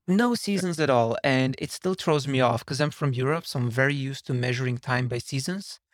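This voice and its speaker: A man from Slovenia, a software engineer guesting on a podcast, who talks casually and wanders off on tangents. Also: medium pitch, fairly quick, no room sound, clean studio sound. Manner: rambling, self-deprecating, digressive.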